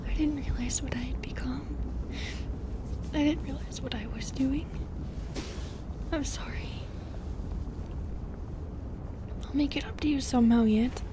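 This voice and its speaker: Woman acting a very high-voiced, anguished young-sounding medusa. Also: very noisy, lightly acted. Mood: hushed, remorseful. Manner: halting, emotional, pleading delivery. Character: anguished, remorseful female medusa, tender and fragile. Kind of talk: intimate audio roleplay acting.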